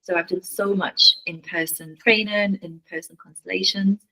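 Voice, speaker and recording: A woman's voice: mid-range voice, fairly even intonation, normal speed, pushed and bright, low-quality recording. A woman guest on a podcast, talking about her healing practice in an earnest way and repeating words as she searches for them. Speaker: podcast guest, family constellations facilitator. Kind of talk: casual interview chat.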